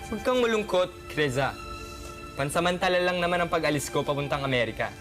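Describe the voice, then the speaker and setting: A man's voice: fairly high pitch, expressive, fairly quick, voice pushed up, lightly acted. A young man with a Filipino accent playing a devoted, tender boyfriend in an amateur radio drama. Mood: reassuring. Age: teenager or young adult. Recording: music playing behind the voice.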